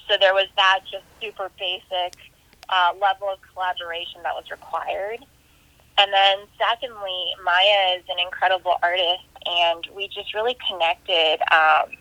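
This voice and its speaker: Bright-voiced woman in a roomy, dull recording. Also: lowish voice. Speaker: independent filmmaker being interviewed. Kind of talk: reflective interview answers, casual.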